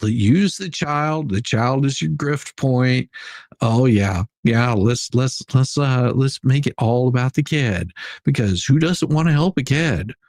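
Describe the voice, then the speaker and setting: A man's voice: mid-range voice, a little slow, darkish tone, no room sound, studio quality. A man hosting a casual livestream, commenting in a mocking, sarcastic way and rambling through repeated phrases as he chats with viewers. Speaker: livestream host.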